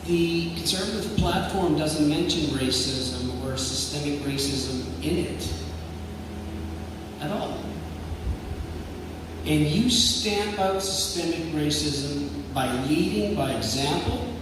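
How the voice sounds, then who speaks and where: A man's voice: fairly high pitch, lively intonation, slow pace. A man answering as a candidate at a public debate, firm and emphatic in his delivery. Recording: echoey hall from far off, noisy.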